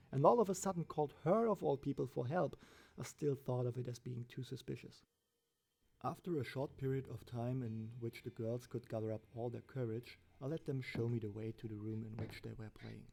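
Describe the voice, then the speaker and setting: A man reading a scripted first-person horror story as its narrator, with a gravelly voice. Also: mid-range voice, darkish tone.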